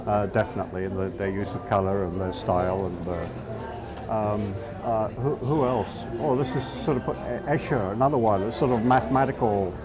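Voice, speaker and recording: Man, middle-aged, southeast English accent, halting with fillers, outdoor crowd noise. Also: fairly low pitch. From England. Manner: hesitant, many fillers and restarts. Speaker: artist. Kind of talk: casual interview. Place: outdoors in a town.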